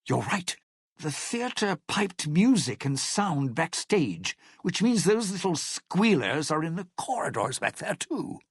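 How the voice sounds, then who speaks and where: An actor playing a cynical, self-serving lounge singer in a video game, with a raspy, gravelly voice and a wry, theatrical, menacing delivery. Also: male voice, fairly high pitch, no room sound, studio quality, heavily acted. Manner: wry, theatrical, hesitant muttering turning to threats. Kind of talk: video game character dialogue.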